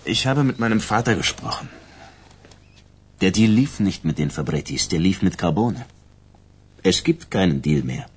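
An actor playing a sullen, hot-tempered mobster's son, husky and curt, in a radio crime drama. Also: male voice, low voice, sing-song, moderate pace, pauses often, full character voice. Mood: defiant. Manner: curt, aggressive.

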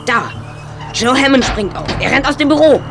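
A woman's voice: high-pitched, expressive, moderate pace, over music. A woman playing an earnest, analytical teenage boy detective in an audio drama, precise and inquisitive. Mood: urgent.

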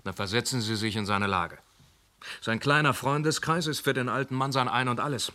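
An actor playing a calm male police inspector who questions witnesses and reasons through a case in a radio drama. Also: mid-range voice, close to an everyday voice. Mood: reasoning. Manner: measured, reasoning.

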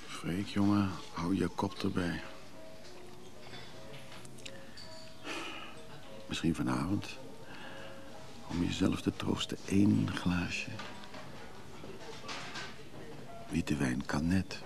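An actor in a Dutch radio play voicing an evasive, soothing antiques buyer, speaking conversationally and a little hesitantly. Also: male voice, deep voice, measured pace, vocal fry, music in the background. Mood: weary, musing. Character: Freek, evasive, soothing middle-class antiques buyer and brother.

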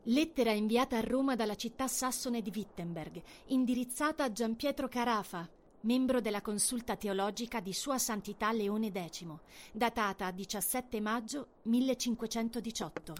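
A woman narrating a scripted historical chronicle for a radio drama in a declarative, deliberate manner. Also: on the high side.

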